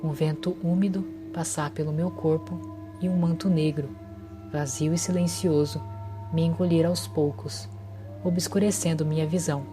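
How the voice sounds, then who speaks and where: A woman narrating a horror story in a measured, somber way, with music underneath. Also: low-pitched, steady tone. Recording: music bed behind voice.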